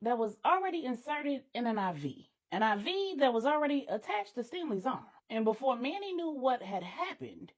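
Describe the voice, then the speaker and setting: Woman, storyteller, dramatic narration, husky voice, animated pitch, deliberate phrasing.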